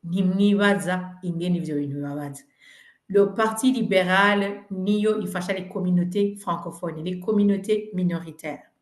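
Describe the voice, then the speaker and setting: A woman's voice: on the low side, normal speed, echoey room. Earnest woman candidate on a video call. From Burundi. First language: French. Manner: earnest, mixing languages, persuasive. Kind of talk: virtual community meeting, answering questions.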